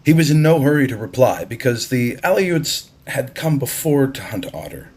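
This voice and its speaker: A man reads a novel aloud as narrator. His voice is mid-pitched with a slightly husky edge. He delivers the scripted story deliberately and expressively. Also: sing-song, darkish tone. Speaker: audiobook narrator.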